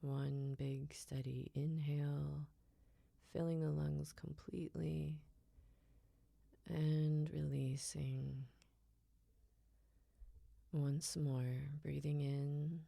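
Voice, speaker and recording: A woman leads a guided relaxation in a low, mellow voice with very heavy creak. She speaks softly and soothingly, letting phrase endings fade into breathy near-whispers. Her delivery is unhurried and gentle. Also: dry recording, studio quality. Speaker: yoga nidra guide.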